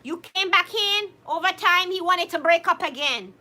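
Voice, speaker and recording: A young woman with a very high voice and a bright, sharp tone hosts a relaxed live-stream chat. She reads out viewer comments. She laughs often, greets viewers by name, and keeps up an animated, chatty manner. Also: moderate pace, faint music behind. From Jamaica. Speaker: co-host reading viewer comments.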